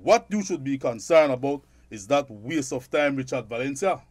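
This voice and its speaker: A man's voice: mid-range voice, lively intonation, moderate pace, a little mellow, no room sound, natural voice. A man acting in a radio drama as a stern, scolding middle-aged shopkeeper father with a Belizean Creole accent. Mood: secretive, irritated.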